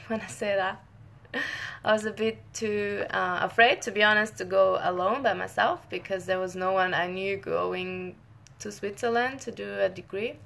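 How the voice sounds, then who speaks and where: A woman's voice: medium pitch, fairly even intonation, measured pace, creaky, a bit bright. A young woman from northern Italy, a scientist who speaks Italian natively, chatting casually with viewers in a live Q&A and often restarting her sentences. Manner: friendly, chatty, many restarts and short laughs. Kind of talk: casual live-stream chat.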